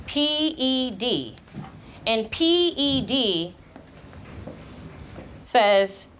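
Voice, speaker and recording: Lively-pitched woman schoolteacher. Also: drawn-out words, slight background noise. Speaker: elementary school teacher. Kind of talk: explaining a lesson, reading aloud, questioning pupils.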